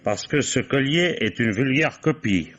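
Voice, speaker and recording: An actor playing a shrewd police commissioner in a vintage French radio mystery, measured and courteous with an ironic edge. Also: male voice, medium pitch, expressive, a bit bright. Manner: measured, ironic, mock-polite. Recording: dull vintage radio recording.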